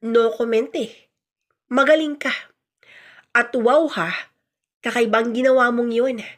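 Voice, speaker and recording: A woman's voice: fairly high pitch, steady tone, slow pace. A woman voicing a blunt, self-justifying husband who is fed up with his marriage, in a radio drama style. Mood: pleased, playful. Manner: defiant, blunt, scornful.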